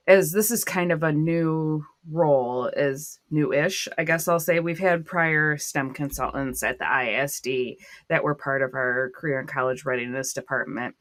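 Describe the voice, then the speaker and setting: A woman's voice: sits low, fairly bright tone. A woman hosting a casual podcast interview, friendly and asking her guest questions. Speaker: podcast host.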